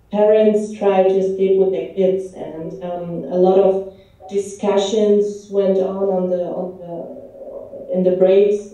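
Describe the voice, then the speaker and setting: A woman who grew up in Romania speaks slowly and haltingly, filling her pauses with ums. Her voice is very dark and mellow. She sounds far from the microphone in an echoey pub room, and the sound is dull. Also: medium pitch. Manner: hesitant, many ums and restarts. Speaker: film director. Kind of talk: interview answers.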